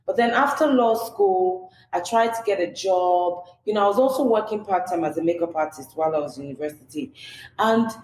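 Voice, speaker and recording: Nigerian woman guest, lowish voice, chatty, says you know a lot, echoey room w/ some noise. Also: a little fast, darkish tone. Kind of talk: casual interview chat.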